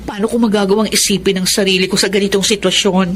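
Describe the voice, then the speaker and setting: A woman's voice: mid-range voice, little pitch movement, quick pace, slight background noise, natural voice. A woman playing a self-sacrificing eldest sister in a Filipino radio drama, speaking in an earnest, motherly, conversational way with a Filipino accent. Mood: earnest, resigned. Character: Minerva, self-sacrificing eldest sister raising two siblings. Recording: music bed under the dialogue.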